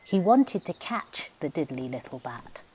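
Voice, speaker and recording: A woman narrating a children's storybook as a storyteller, in an expressive, deliberate way. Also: low-pitched, a little hiss or hum, rough recording. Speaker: professional storyteller.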